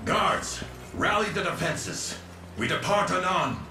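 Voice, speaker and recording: An actor playing a grave, obsessive scholar lord in a fantasy video game, speaking formally and grandly. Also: male voice, high voice, lively intonation, slow, over music. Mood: commanding. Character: grave, driven nobleman obsessed with experiments and cure.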